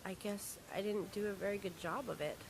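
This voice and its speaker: A woman's voice: medium pitch, fairly even intonation, brisk, vocal fry, noisy background, close to an everyday voice. A young woman playing a fragile but wry female psychiatric patient recalling a painful night. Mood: hesitant. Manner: quiet, hesitant, wry.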